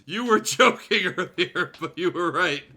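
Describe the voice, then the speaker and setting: A man's voice: high voice, lively intonation, unhurried, sharp tone. A man hosting a livestream read-aloud, talking casually with amused, profane asides. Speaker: streamer hosting a fanfiction reading.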